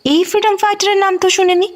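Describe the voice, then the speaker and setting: A woman's voice: very high voice, flat delivery, fast talker. A woman acting a curious, eager young city visitor in an audio drama. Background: music bed with cricket sounds.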